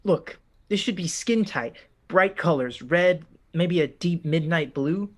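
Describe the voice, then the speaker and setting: A man's voice: on the high side, slow pace, pitched above the performer's own voice. A man voicing a nervous, earnest young man who stammers.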